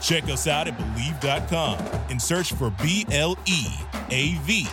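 A man reading a scripted podcast outro in an even, read-aloud way. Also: on the high side, measured pace. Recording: voice over clear music bed.

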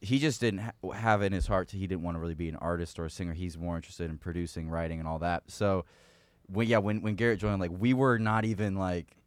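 A man's voice: sits low, fairly even intonation, fast, smooth, no room sound. A man in a band, explaining its history in a casual chat. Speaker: band member.

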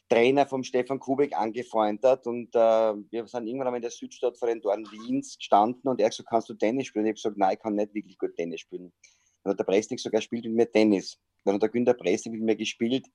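A middle-aged Austrian man speaks in a low, mellow voice on a dry, close video call. He tells a story easily and keeps pausing to hesitate. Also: no room sound. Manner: chatty storyteller with frequent hesitations. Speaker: sports journalist and comedian. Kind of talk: casual interview over video call.

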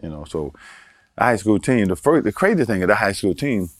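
Older guest from Baltimore, deep voice, easygoing anecdotes, dry recording. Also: male voice, brisk, no room sound. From Baltimore, Maryland. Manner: relaxed storyteller, chuckles, repeats words for emphasis. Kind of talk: casual storytelling interview.

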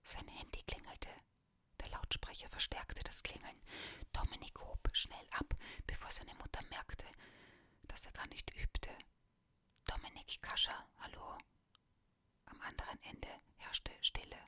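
A woman reading a children's story aloud in a soft, steady whisper, ASMR style. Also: measured pace. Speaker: reader.

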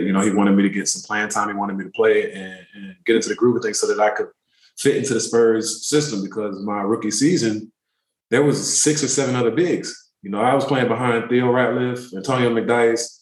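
A man in his thirties, a pro basketball player, telling relaxed, rambling stories in a casual interview. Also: on the low side, roomy sound, poor audio. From born in Turkey, raised in the DC area. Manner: relaxed, rambling, many fillers.

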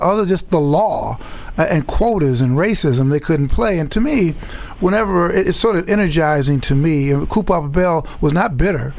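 A man's voice: a little high, creaky, a little mellow. A man from Chicago's South Side hosting an interview, talking reflectively and often repeating words as he thinks. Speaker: host.

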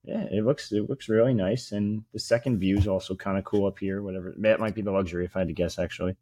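A man with a fairly low voice co-hosts a casual sports podcast. He rambles informally and shares opinions freely. The sound is close and dry. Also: steady tone, fast talker, warm tone, no echo.

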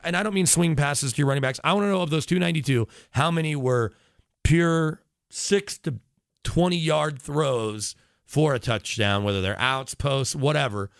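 A man's voice: fairly high pitch, normal speed, vocal fry, dry recording, studio quality. A man talking as a sports radio analyst in casual conversation, opinionated and emphatic, often digressing as he makes his points.

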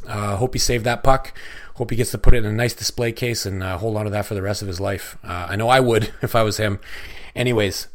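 A man hosts his own hockey podcast and talks through the game in a loose, chatty run, swearing freely. His voice sits a little low, and it turns rough and hoarse as he says he is losing it. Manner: excitable, profane, chatty. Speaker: podcast host. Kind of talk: solo hockey recap podcast.

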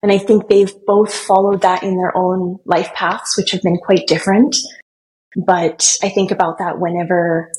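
A woman's voice: lowish voice, level tone, moderate pace, a little mellow, slight background noise, rough recording. A woman podcast guest, born in Germany and raised in Canada, who answers in a friendly, chatty way and laughs easily. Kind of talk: conversational interview answers.

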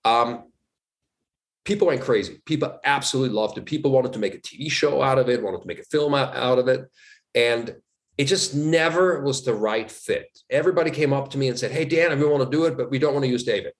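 A man's voice: medium pitch, a little fast. A man from Switzerland with a Swiss German accent, a guest in an interview chatting casually and acting out quoted dialogue as he tells stories. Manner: animated storyteller, acts out quoted dialogue. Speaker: actor guest.

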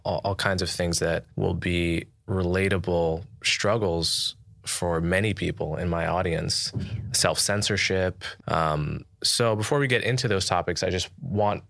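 A man hosting an interview podcast, his voice low and placed deep in the chest, yet carrying a forceful, bright edge. He speaks in a measured way and sometimes trails into small hesitations while he shapes a question. The sound is dry and close. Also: vocal fry, no room sound. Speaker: podcast host. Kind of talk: interview host.

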